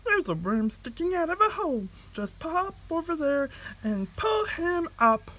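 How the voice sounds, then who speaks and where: A small, friendly worm from a storybook coaxes and cheers in a high, drawn-out voice, as a woman puts him on. He lingers on his words. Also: big pitch swings, fairly bright tone, exaggerated voice, low-quality recording. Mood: encouraging. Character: small wiggly worm fellow, friendly and encouraging.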